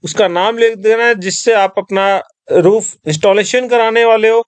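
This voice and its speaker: A man with a high, clear voice walks the listener through an online application one step at a time. He keeps it instructive and calls his viewers friends. The sound is dry and close, recorded at a desk mic in a quiet room. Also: normal speed, no room sound. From Rajasthan. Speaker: tutorial presenter. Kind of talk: screen-recorded tutorial voice-over.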